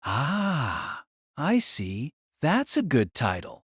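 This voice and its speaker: A woman voices a reserved young male transfer student in a low, husky register. He is modest and hesitant, yet his pitch moves freely, in dry audio drama dialogue. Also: huge pitch swings, extremely slow, dry recording, exaggerated voice. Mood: modest, guarded.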